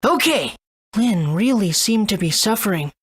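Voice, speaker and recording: A woman's voice: mid-range voice, animated, normal speed, slightly rough, no echo, clean studio sound. A woman voicing an earnest, good-natured young boy adventurer in a video game. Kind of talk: English game dub.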